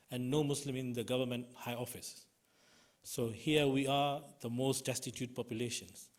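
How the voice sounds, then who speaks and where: A man with a Burmese accent gives a prepared public speech as a human rights activist, in halting, non-native English. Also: mid-range voice, a little mellow. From Burma (Myanmar). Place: conference auditorium.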